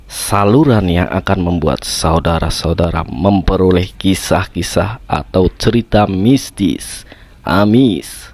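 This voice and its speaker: A man with a Javanese accent hosting and telling horror stories radio-style, his voice slightly hoarse from a cold. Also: sits low, takes it slowly, a little mellow. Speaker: host of a horror story show.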